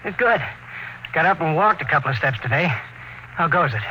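A man's voice: a little high, expressive, a little fast, bright, forceful tone. An actor playing a plain-spoken, puzzled, testy expedition crewman in a classic radio drama, earnest and easily indignant. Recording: slightly noisy old radio recording.

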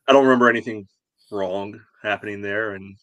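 A man's voice: on the low side, steady tone, fairly quick, highs cut off, crowd noise. A man co-hosting a sports podcast, casually discussing a match in a blunt, colloquial way.

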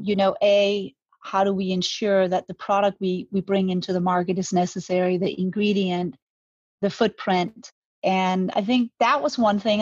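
A woman's voice: mid-range voice, darkish tone, dry recording, highs cut off. A woman, talking reflectively as an interview guest, often restarting her words. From Denmark. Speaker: guest, brand co-founder. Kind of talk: conversational interview.

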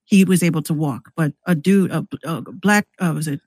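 An American woman chatting casually and candidly in a slangy, animated way, acting out exchanges as she tells stories. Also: deep voice, normal speed, husky, no echo, studio quality. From New York City, US. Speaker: podcast guest, rapper and radio show host. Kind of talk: casual conversational storytelling.

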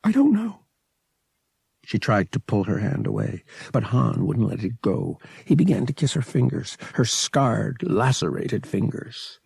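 A man's voice: fairly low pitch, big pitch swings, measured pace, creaky, few pauses, darkish tone, breathy edge, dry recording. A man narrating fiction as a scripted, dramatic audiobook reading.

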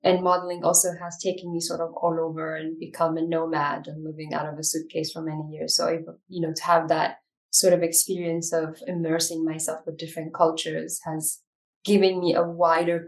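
A woman's voice: deep voice, flat delivery, fairly quick. Reflective woman from Ethiopia, slightly distant. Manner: reflective, frequent fillers and restarts. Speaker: guest, documentary filmmaker. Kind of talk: conversational interview answers.

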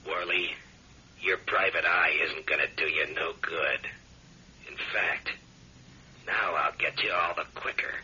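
An actor playing a menacing anonymous caller, speaking slowly and deliberately on a taped telephone message in a radio drama. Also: male voice, low voice, fairly even intonation, pushed and bright, full character voice. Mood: threatening. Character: menacing anonymous male caller leaving threats. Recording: muffled, noisy telephone tape playback.

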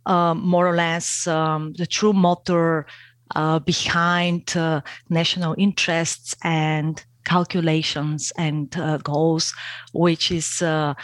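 Woman, guest expert, slow deliberate delivery with frequent fillers. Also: deep voice, flat delivery, dry recording. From Bulgaria. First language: Bulgarian. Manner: hesitant, many fillers, careful academic phrasing. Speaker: guest, geopolitics researcher. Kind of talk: interview answers.

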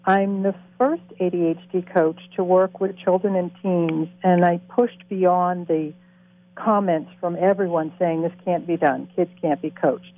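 A woman in her sixties from Boston speaks earnestly and directly, her voice sitting low. The sound is dry and thin, carried over a phone line. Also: steady tone, a little slow, no room sound. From Boston, USA. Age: 60s. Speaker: coach educator. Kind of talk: panel self-introduction. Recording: tinny phone-line audio.

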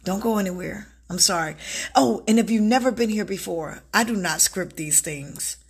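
A woman hosting an unscripted self-help podcast, with a raspy voice that sounds a little strained from a recent illness, talking earnestly and repeating words for emphasis. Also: medium pitch, normal speed. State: recovering from illness, slightly strained voice. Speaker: podcast host.

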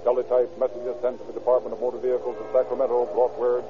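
A man as a sober narrator of a vintage radio crime story. His voice is mid-pitched and formal, with deliberate storytelling. Music plays behind a narrow, phone-like recording. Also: monotone, close to an everyday voice. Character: sober male narrator of a police case.

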